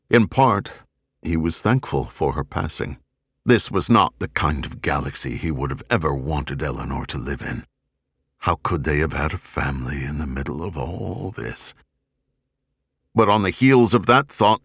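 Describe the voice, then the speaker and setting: Deep-voiced man reading with dramatic range. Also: a bit bright, no echo, studio quality. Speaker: audiobook narrator. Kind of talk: dramatic scripted narration of a science fiction novel.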